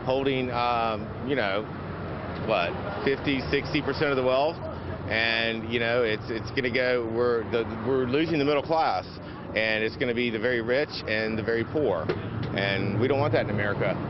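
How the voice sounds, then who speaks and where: Halting male protester, noisy street. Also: mid-range voice. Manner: halting, searching for words. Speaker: protester giving a street interview. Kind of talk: spontaneous vox pop. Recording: dull outdoor audio with street noise.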